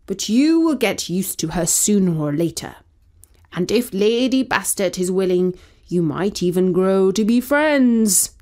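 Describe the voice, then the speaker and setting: A woman's voice: medium pitch, lively intonation, unhurried, a little mellow, natural voice. A woman voicing a stern, grumpy male priest in a children's story, firm and admonishing. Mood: matter-of-fact.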